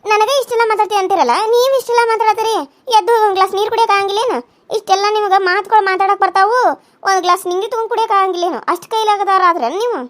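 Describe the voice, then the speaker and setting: A woman's voice: extremely high pitch, steady tone, racing, fairly bright tone. Woman playing a snappy young daughter-in-law. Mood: scolding. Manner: snappy, scolding, defiant.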